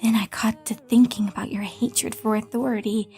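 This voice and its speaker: A woman voicing a young female pony scholar, earnest, gentle and hesitant, in a fan audio drama. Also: on the high side, little pitch movement, moderate pace, soft background music, natural voice. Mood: earnest, probing.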